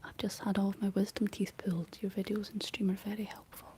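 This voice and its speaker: A young Scottish woman speaking in a soft, breathy whisper, chatting casually and gently in an ASMR style. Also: mid-range voice, level tone, quick pace. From Scotland. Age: young adult. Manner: gentle, rambling chat while painting. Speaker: ASMR streamer reading and answering live chat. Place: living room.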